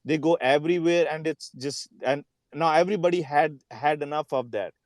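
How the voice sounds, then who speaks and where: A man's voice: a little high, normal speed, no room sound. A man speaking casually and earnestly on a group call, repeating himself as he rallies others. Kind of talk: casual chat in a live group audio call.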